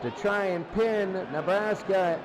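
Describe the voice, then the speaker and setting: A man doing excitable play-by-play football commentary in short, repeated phrases. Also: sits high, takes it slowly, crowd noise, noisy background. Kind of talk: American football radio-style commentary.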